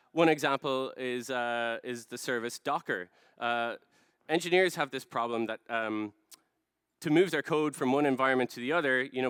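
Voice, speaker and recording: A man with an Irish accent presents on stage in a practised conference style. His voice is clean and resonant at a middling pitch, picked up dry with little room sound. He explains step by step like a storyteller, leaning on key words. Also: fairly bright tone, clear, resonant tone, dry recording. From Ireland. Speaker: conference speaker, product design director. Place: large dark stage, indoors.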